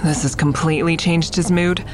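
An actress playing a reflective, tender woman who narrates an audio drama in measured, descriptive first person. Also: sits low, steady tone, natural voice. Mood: uneasy, suspenseful. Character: reflective woman admiring a friend's mural, tender. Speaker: narrator and lead character.

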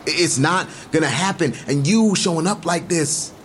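A man's voice: fairly high pitch, normal speed, fairly bright tone, a little hiss or hum, natural voice. An actor playing a jealous, possessive man in an audio drama, confrontational and emphatic. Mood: jealous anger. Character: jealous, indignant, possessive male suitor.

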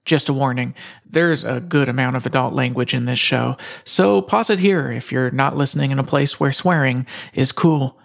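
Creaky-voiced male podcast presenter. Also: medium pitch, normal speed. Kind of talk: scripted podcast introduction.

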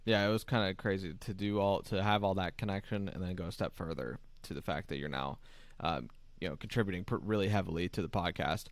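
A man co-hosting a sports podcast, chatting in a relaxed way with lots of "you know" and "uh". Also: low voice, fairly quick, no room sound. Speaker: podcast co-host. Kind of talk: casual podcast chat.

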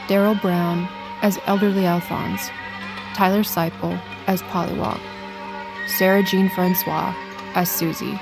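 A woman announcer reading scripted credits in a formal, orderly way. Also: on the low side. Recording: music behind the voice. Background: music.

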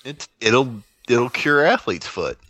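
A man's voice: medium pitch, sing-song, slow pace, bright, forceful tone, no echo. A young man on a casual drunk podcast, wisecracking and arguing his point. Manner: wisecracking, argumentative. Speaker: podcast co-host.

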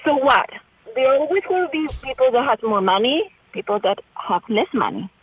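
A woman's voice: high voice, very animated, normal speed, slightly rough, no echo. A woman from Chile, earnest and heartfelt, calling in to a radio show. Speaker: caller. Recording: muffled phone line.

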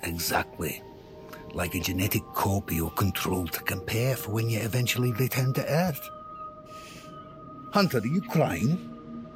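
A man's voice: fairly low pitch, unhurried, pauses often, music in the background, natural voice. An actor playing a firm, demanding father in an audio drama, talking to children in a didactic, coaxing way. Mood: explanatory. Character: firm, demanding father lecturing his young twin sons.

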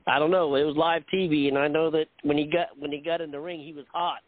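Man, raised pitch, co-host telling rambling wrestling-ring stories, phone-line quality. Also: steady tone. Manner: storytelling, halting restarts. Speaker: podcast co-host, former wrestler. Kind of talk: casual chat. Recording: tinny phone-like audio.

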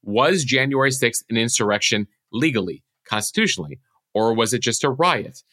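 Bright, forceful American man explaining the news. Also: medium pitch, expressive, moderate pace, no echo, clean studio sound. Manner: explanatory, walks through points step by step. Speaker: news podcast host.

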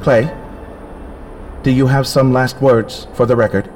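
A man playing an artificial intelligence computer in a science fiction audio drama, speaking in a measured, formal, emotionless way. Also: mid-range voice, little pitch movement, darkish tone, slight background noise, natural voice. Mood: calm, factual. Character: calm, coldly logical male artificial intelligence computer. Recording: dramatic music behind the voice.

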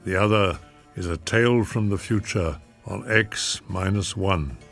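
A man hosting a radio drama series, reading a scripted introduction in a measured, theatrical manner. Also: low-pitched, gravelly, a bit bright, soft background music.

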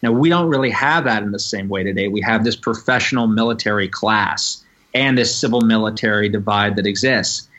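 A man answering interview questions as a guest, thoughtful and measured, with many "you know" fillers. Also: fairly low pitch, fairly bright tone, lo-fi sound. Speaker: podcast guest, novelist and former Marine.

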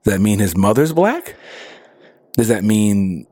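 Animated man riffing with a lively voice. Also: on the low side, measured pace, breathy edge, no echo, studio quality. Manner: animated, questioning, laughs often. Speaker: podcast co-host. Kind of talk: casual pop culture chat.

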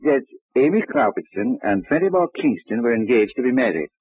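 A man's voice: medium pitch, very animated, a little fast, husky, no room sound. An actor playing a talkative, eager, middle-aged Irish policeman who rambles and stammers respectfully, in an old radio detective drama. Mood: gossipy, knowing. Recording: dry, tinny old radio recording.

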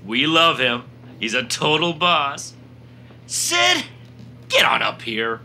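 An actor playing a smug, chummy corporate boss in a comedic audio drama, pompous and patronizing. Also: male voice, fairly high pitch, wildly swooping pitch, slow pace, pushed and bright, slight background noise, heavily acted. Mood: grandly gushing.

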